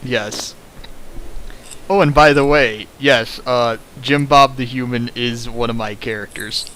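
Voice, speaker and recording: A man's voice: medium pitch, steady tone, takes it slowly, sharp tone, poor audio. A man doing a mocking dramatic reading of fan fiction with sarcastic, hammy asides, recorded at home with hiss.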